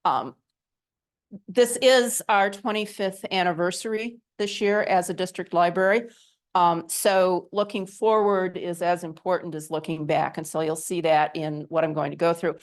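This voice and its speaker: A woman with a somewhat low voice delivers a library update to a township board. She talks in a chatty, easygoing way with little self-deprecating asides, holding a microphone in a meeting room. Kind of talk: presentation at a public meeting. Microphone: handheld microphone.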